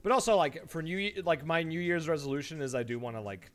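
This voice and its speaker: A man streaming live, chatting casually to viewers and telling stories in an animated way, acting out his reactions. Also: a little high, quick pace. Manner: animated storytelling, mimics his own reactions; laughs easily while talking. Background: background music.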